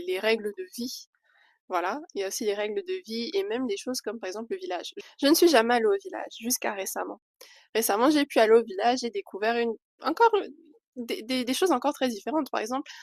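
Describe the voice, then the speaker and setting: A woman's voice: a little high, creaky, no room sound. A young woman from Switzerland chatting candidly to camera in a casual vlog, with hesitant fillers between thoughts. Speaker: vlogger.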